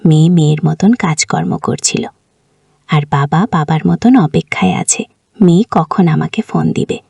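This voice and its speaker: A woman's voice: low-pitched, moderate pace, creaky, mellow, slightly rough, no room sound. A woman tells a family story in an informal, colloquial way, as a storyteller who voices the dialogue herself.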